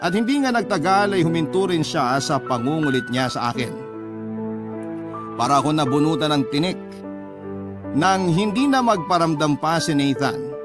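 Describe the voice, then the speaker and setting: A man's voice: a little high, lively intonation, normal speed, pauses often. A man with a Filipino accent narrating a scripted, first-person love story in radio style. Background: music.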